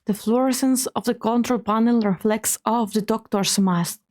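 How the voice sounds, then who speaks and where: A woman reading scripted dramatic story narration in a measured, solemn way. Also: on the high side, a little mellow.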